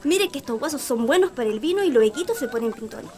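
A woman's voice: high-pitched, expressive, fast, darkish tone, soft background music. A woman playing a deferential, fussy and protective housemaid in a radio play, with a Chilean accent. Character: fussy, protective female housemaid of a country estate.

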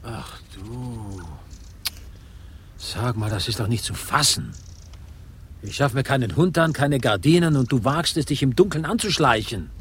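A man's voice: mid-range voice, unhurried, a bit bright, slight background noise, close to an everyday voice. An actor playing an irritated, suspicious man in a radio crime drama, curt and accusing. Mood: indignant.

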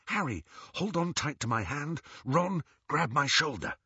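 A man voicing a young witch in an audiobook, hushed and breathy, urgent yet composed and decisive. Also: on the high side, lively intonation, measured pace, no echo, lo-fi sound, heavily acted.

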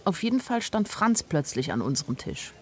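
A woman's voice: lowish voice, sing-song, normal speed. An actress playing a sensitive young woman who tells her own story in an intimate, literary radio drama narration. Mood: reflective. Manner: literary, intimate first-person telling.

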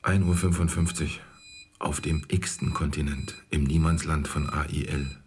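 A man's voice: a bass voice, fairly even intonation, measured pace, slight background noise. An actor playing a wry, embattled insurance salesman in a radio play, speaking a sardonic monologue in clipped phrases. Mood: bitter, sardonic.

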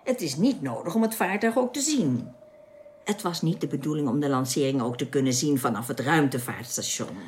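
A woman's voice: low voice, animated, a little fast, a little mellow, a little hiss or hum. A woman playing a resolute alien in a science-fiction radio drama, explaining things decisively. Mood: composed, decisive. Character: calm, resolute alien woman helping spacemen escape.

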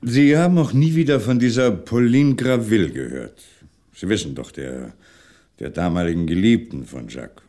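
An actor playing a shrewd French police commissioner in a 1960s German radio crime drama, questioning in a measured way with pointed pauses. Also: male voice, fairly low pitch, mellow, lightly acted. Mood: quietly menacing.

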